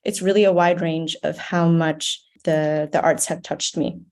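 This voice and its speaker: A woman's voice: low-pitched, fairly even intonation, unhurried, darkish tone. An American woman speaking earnestly and thoughtfully as an interview guest, in a reflective conversational style. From United States, grew up in Ohio. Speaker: podcast guest, nonprofit board member.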